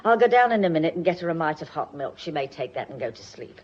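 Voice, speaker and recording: A woman's voice: deep voice, sing-song, fast talker, slightly rough, highs cut off, full character voice. An actress playing a grumbling, plain-spoken old British lady's maid in a radio drama, with a foreboding manner. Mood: worried, grumbling.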